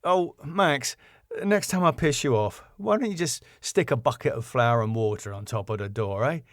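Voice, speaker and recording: A man's voice: medium pitch, moderate pace, no echo, studio quality, lightly acted. A man voicing a cheeky, glib music promoter who wisecracks and placates with a flippant manner. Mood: placating.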